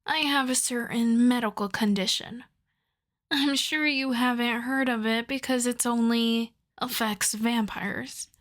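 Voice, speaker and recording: Female vampire played by a woman, ASMR roleplay: possessive, obsessed, starved; higher register, very unhurried, breathy delivery. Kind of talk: ASMR roleplay monologue to the listener.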